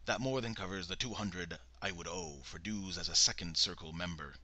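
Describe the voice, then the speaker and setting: Indignant petty man voiced by a man, defensive, deep bright tone. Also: moderate pace, heavily acted. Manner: peevish, defensive. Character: indignant petty male cult member.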